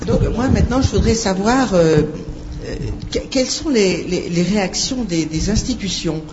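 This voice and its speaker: A woman's voice: mid-range voice, moderate pace, a little mellow. A woman asking questions from the audience in a hesitant, spontaneous way. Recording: dull, distant audience recording with crowd noise.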